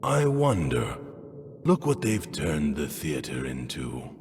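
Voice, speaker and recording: A man's voice: a bass voice, very animated, takes it slowly, darkish tone, full character voice. A man playing an ominous, brooding zealot in a video game cutscene, speaking with portentous, theatrical, coldly philosophical delivery. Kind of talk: dramatic scripted game cutscene acting. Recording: long echoing hall reverb.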